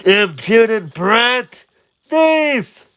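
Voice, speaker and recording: A man voicing a pushy male street food vendor in a retold game story, hawking his wares loudly. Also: high voice, lively intonation, slow, clean tone, pitched above the performer's own voice. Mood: outraged. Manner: loud hawking sales patter.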